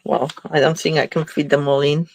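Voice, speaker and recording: A woman's voice: low-pitched, flat delivery, a little fast, creaky, a little mellow, no room sound. Chatty congested woman demonstrating crafts. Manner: chatty, thinking aloud while working. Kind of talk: live crafting demo chat.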